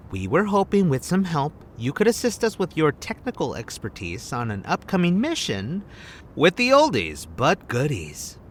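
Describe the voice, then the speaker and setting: An actor playing a cocky, flirtatious, eccentric field agent in an audio drama, smug and theatrical, dropping in bits of Spanish. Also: male voice, fairly high pitch, huge pitch swings, measured pace, a little hiss or hum. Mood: breezy, coaxing.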